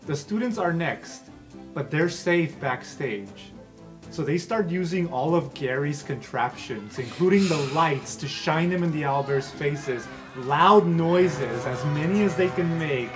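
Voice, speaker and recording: High-voiced man running a tabletop game. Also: animated, unhurried. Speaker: game master. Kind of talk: improvised tabletop game narration. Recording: music behind the voice. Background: loud background music.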